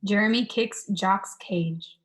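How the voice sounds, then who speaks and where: A woman's voice: mid-range voice, unhurried. A young woman reading stage directions as the narrator of a scripted radio play. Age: young adult.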